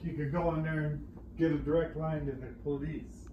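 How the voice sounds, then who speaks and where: A man's voice: on the high side, flat delivery, moderate pace, dull audio, background noise. An older man chatting casually at home as he shows off his collectibles. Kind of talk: casual chat, showing off keepsakes. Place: living room and kitchen at home.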